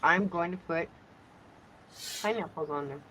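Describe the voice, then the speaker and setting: A woman chatting casually, talkative and giggly, her voice hoarse and going in and out. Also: fairly low pitch, unhurried. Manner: loud-natured, giggly, talkative. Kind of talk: casual chat. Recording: dull lo-fi sound with faint background noise.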